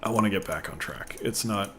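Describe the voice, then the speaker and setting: A man rambling casually while commentating on a gameplay video, with a voice he says sounds deeper since an illness. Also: brisk, sharp tone, faint music behind. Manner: rambling, chatty, thinks aloud. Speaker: gaming video host. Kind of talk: casual let's play commentary.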